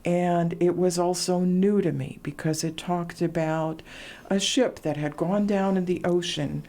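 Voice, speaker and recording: A middle-aged woman, a radio host talking as a guest and sharing stories in relaxed conversation, with fillers. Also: fairly low pitch, measured pace. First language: Latvian. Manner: warm storytelling, reflective, frequent fillers. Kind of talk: casual interview chat.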